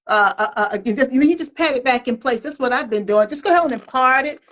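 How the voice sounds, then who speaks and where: A woman's voice: high-pitched, expressive, fast talker, fairly bright tone. A woman co-hosting a casual video-call chat, playfully teasing and restarting her words. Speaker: co-host.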